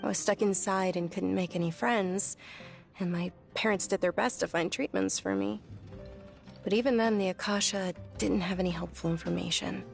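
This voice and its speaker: Game role: young highborn lady, gentle, courteous, frail, slightly creaky voice, music behind. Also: steady tone, moderate pace. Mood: wistful. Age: young adult. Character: gentle, polite, frail young noblewoman, earnest. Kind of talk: video game voice acting.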